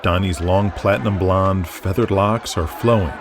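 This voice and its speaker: A man with a lowish voice narrates a scripted drama in the present tense. His delivery is intense and punchy, building each moment. Loud music plays beneath him. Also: steady tone, moderate pace.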